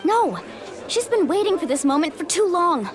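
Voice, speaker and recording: A loyal young heroine from a video game. Her voice is high and youthful, with an earnest, impassioned delivery that carries a fiery temper. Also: big pitch swings, moderate pace, over music, crowd noise. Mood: indignant.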